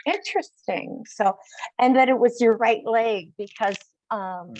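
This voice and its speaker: An older woman hosting a conversational interview, speaking in a halting way with phrases that trail off. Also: fairly high pitch, a little mellow, poor audio. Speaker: host interviewing guests.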